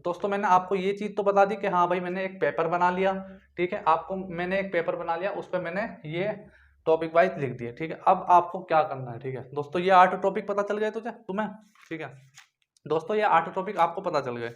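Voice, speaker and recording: Higher-voiced young man, informal tutor. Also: fairly quick. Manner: repetitive, frequently checks in with tag phrases. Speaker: exam-preparation tutor. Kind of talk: informal instructional talk to viewers. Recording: dull lo-fi sound.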